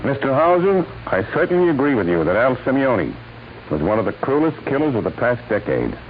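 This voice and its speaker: A formal male police commissioner played by a man hosts an old radio crime show. His scripted lines move up and down in pitch. The recording is tinny and faintly noisy. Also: sing-song, brisk, natural voice. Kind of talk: scripted 1940s radio narration.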